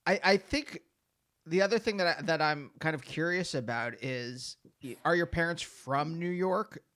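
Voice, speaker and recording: A man's voice: a little high, moderate pace, a bit bright. A man hosting a podcast, asking curious, loosely phrased questions and giving short replies while his guest talks. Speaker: podcast host. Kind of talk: casual interview.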